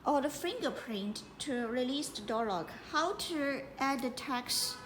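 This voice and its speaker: A woman giving a hands-on installation tutorial as a product presenter, speaking in halting, piecemeal phrases with repetition. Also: sits high, animated. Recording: echoey room with clear background noise.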